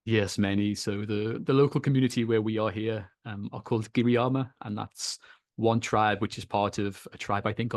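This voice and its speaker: A man with a Liverpool accent, a podcast guest telling his story in an easy, measured way, with small repeats. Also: on the low side, no room sound, studio quality. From England. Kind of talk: relaxed storytelling in an interview. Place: home in Kenya.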